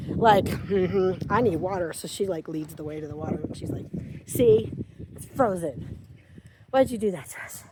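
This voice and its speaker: A woman's voice: mid-range voice, background noise. Breathless woman vlogging in the snow. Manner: rambling, chatty, with many fillers and quoted asides. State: short of breath from cold and altitude. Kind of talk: casual outdoor vlog storytelling. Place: outdoors on a snowy rural property.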